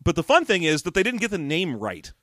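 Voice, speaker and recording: A man's voice: high-pitched, sing-song, bright, forceful tone, no room sound. Joking man with a dry, close sound. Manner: jokey, laughs often, puts on quick impressions. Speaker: podcast co-host. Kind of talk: casual chat discussing a book.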